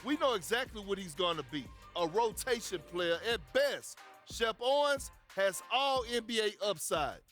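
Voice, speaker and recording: Loud brash sports pundit, high voice, game cutscene w/ music under it. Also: male voice, animated, moderate pace. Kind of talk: video game cutscene acting.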